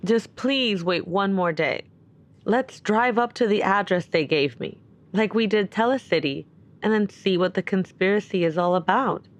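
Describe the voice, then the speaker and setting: A woman playing a worried, caring and suspicious character in an audio drama, speaking naturally and earnestly with gentle insistence. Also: medium pitch, normal speed, dull audio, natural voice. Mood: pleading.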